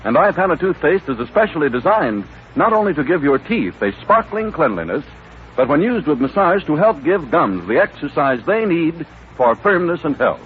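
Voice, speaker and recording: A man's voice: fairly high pitch, very animated, normal speed. An American man announcing in polished, earnest 1930s radio advertising style. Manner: polished, earnest sales delivery. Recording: tinny, noisy 1939 radio broadcast.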